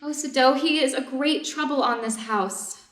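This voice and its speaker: Woman as worried devoted wife, high voice, earnest, careful, distant mic, echoey room. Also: normal speed, a bit bright. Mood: worried, torn. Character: anxious, devoted Japanese wife of a doctor. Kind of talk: reader's theater stage reading.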